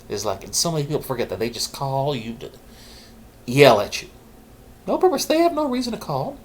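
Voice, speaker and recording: A middle-aged man, a vlogger, candidly telling his own story in a halting, reflective way, holding his naturally loud voice down low. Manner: halting and reflective, with pauses and restarts; says he is keeping his loud voice low on purpose. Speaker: vlogger sharing his mental health story.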